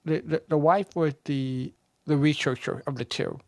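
Male, slightly high voice, dry sound, thinking aloud in an informal group discussion. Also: fairly even intonation, moderate pace, a little mellow, no echo. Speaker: participant in an old-time radio discussion group. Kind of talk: casual explanatory discussion.

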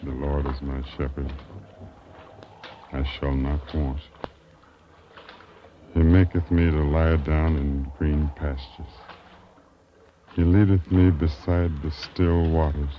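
An actor in an old radio drama playing a solemn shipwreck survivor who recites scripture in a measured prayer. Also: male voice, a bass voice, fairly even intonation. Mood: solemn, reverent. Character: solemn man praying aloud among shipwreck survivors. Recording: muffled old radio, faint noise.

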